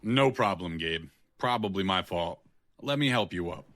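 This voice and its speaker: A man's voice: sits low, lively intonation, normal speed, fairly bright tone, no room sound. An actor playing a wry, unafraid tough guy in an audio drama, speaking in a dry, blunt, sardonic way. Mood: friendly, easygoing. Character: wry, unafraid, plain-spoken male tough guy with a kind streak.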